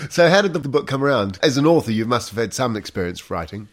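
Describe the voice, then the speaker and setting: A man's voice: mid-range voice, expressive, fast. A man hosting a wine show interview, chatty and a bit hesitant as he asks questions. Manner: chatty, hesitant, fills gaps with uh and um. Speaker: podcast host. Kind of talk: casual interview.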